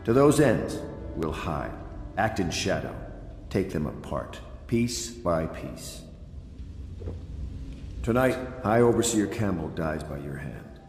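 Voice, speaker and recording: A grave leader of conspirators in a video game. His low voice is deliberate, earnest and persuasive. It rings with long reverb in a big room, over faint music. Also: big pitch swings, exaggerated voice. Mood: grave, resolved. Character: resolute, grave male leader of loyalist conspirators. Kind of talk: scripted video game briefing. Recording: echoey hall with long reverb.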